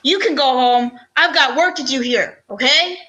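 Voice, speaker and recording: A woman playing a protective, weary male homicide inspector in an amateur old-time radio drama, with a measured, put-upon delivery. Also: sits high, sharp tone. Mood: businesslike.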